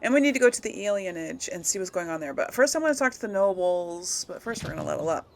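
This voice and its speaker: A woman's voice: fairly low pitch, expressive, quick pace, gravelly, slight background noise. A woman hosting a casual let's play, musing and thinking aloud as she goes. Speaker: gaming video host. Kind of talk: casual let's play commentary, some role-played replies.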